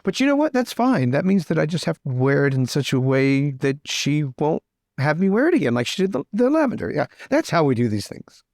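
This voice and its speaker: A man's voice: on the high side, very animated, fairly quick, darkish tone, no room sound, studio quality. A man voicing a fussy, vain young aristocrat in tabletop roleplay, stammering, flustered and theatrically exasperated.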